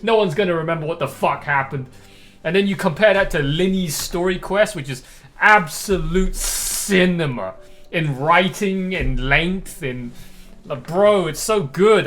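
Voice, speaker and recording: High-pitched man, blunt streamer commentary. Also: fairly bright tone. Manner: blunt, profane, slangy ranting. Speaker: game streamer. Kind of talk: casual live stream commentary. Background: faint game music.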